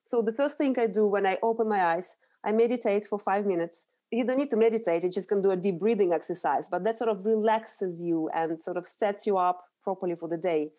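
A woman's voice: mid-range voice, fairly quick, few pauses, mellow. A middle-aged woman from Russia with a Russian accent, answering interview questions as a nutritional therapist in an earnest, explanatory way with small restarts.

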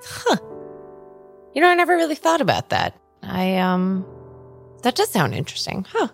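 A woman playing a casual, friendly young tarot reader in an improvised audio drama, talking in a halting, conversational way. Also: mid-range voice, wildly swooping pitch, creaky, faint music behind.

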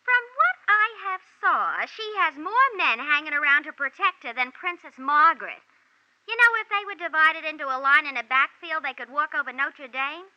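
A woman's voice: high voice, expressive, moderate pace. A woman playing a brassy maid and dancer in a 1940s radio drama, putting on stilted, refined diction mixed with slangy wisecracks. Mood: wisecracking. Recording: dull vintage 1940s radio recording.

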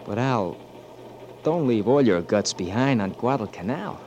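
A man's voice: medium pitch, expressive, unhurried, darkish tone, muffled recording, a little hiss or hum, natural voice. An actor playing a frank, caring young Marine who pleads earnestly with his friend, in 1940s radio-drama style. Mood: tender.

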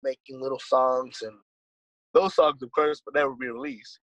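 A man's voice: mid-range voice, very animated, normal speed, vocal fry, fairly bright tone, no echo, poor audio. A man and musician guest in a casual conversation, talking in a laid-back, rambling way with lots of swearing and "dude". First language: English.

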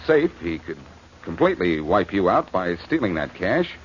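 A breezy, quick-witted American sleuth from a 1940s radio detective show. The voice is on the low side and very animated, needling and insistent. It comes through a dull, noisy vintage broadcast recording. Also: male voice. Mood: accusing, sure. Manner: wisecracking, insistent.

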